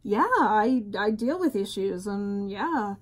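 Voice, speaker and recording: A woman's voice: medium pitch, expressive, takes it slowly. A middle-aged woman co-hosting a casual podcast, rambling with many fillers and trailing off. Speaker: podcast co-host. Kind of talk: casual chat.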